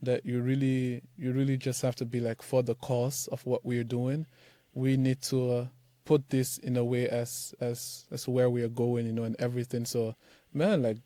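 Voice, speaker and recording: Young guy from nigeria chatting in an interview, lots of "like, man", mellow tone, dry close sound. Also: mid-range voice, normal speed, dry recording. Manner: animated, loose, many fillers like "like" and "man". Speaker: startup founder, guest. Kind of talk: casual interview talk.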